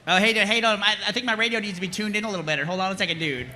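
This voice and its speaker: A man's voice: sits high, racing, bright, forceful tone. A man playing a laid-back, scatterbrained dude working as a military radio operator in a comedic table read, talking in slang. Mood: laid-back, distracted.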